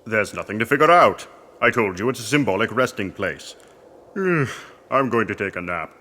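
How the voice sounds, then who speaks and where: A pompous, idle archaeologist played by a man in comic audio theatre. He grumbles fussily in a slightly low but sharp, forceful voice. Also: expressive, moderate pace. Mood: exasperated. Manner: fussy, self-important.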